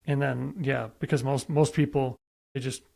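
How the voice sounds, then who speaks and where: A man's voice: medium pitch, little pitch movement, moderate pace, vocal fry, darkish tone, breathy edge. A man hosting a podcast, chatting casually as he interviews a guest about a sport. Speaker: podcast host.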